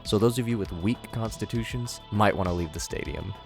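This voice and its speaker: A man's voice: on the low side, brisk, creaky. A man giving scripted video commentary as the host of the show. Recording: music under the voice. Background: background music.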